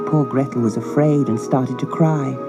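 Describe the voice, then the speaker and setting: A woman narrating a children's storybook in a measured, expressive, scripted style. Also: very deep voice, over loud music. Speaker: audiobook narrator.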